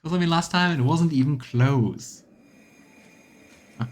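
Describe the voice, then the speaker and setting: A man's voice: fairly high pitch, measured pace, faint music behind. A man giving casual let's play commentary on a video game, musing aloud and trailing off as he goes. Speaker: streamer playing a video game.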